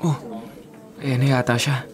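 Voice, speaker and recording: An actor playing a sickly, earnest youngest brother in a Filipino radio drama. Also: male voice, medium pitch, flat delivery, a little slow, a crowd in the background, natural voice. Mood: friendly. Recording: loud music bed behind voice.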